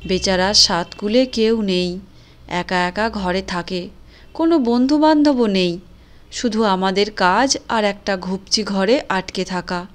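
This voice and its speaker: A woman's voice: mid-range voice, unhurried, natural voice. An actress playing a caring middle-aged housewife in a cartoon moral story, earnest and persuasive in her talk. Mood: coaxing. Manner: earnest, coaxing, insistent.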